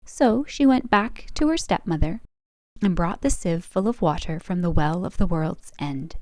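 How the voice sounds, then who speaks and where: A woman's voice: lowish voice, normal speed, darkish tone, no echo. A woman reading a scripted folk tale as a storyteller, in storybook narration style. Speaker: storyteller, channel host.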